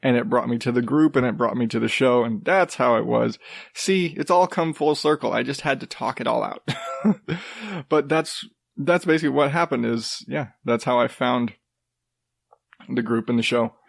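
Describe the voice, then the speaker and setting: Rambling deep-voiced man hosting a podcast. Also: normal speed, creaky, no echo. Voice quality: rather deep voice. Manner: rambling, loose, with swearing and self-interrupting restarts. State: coming down with a cold. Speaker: podcast host. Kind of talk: casual solo podcast talk.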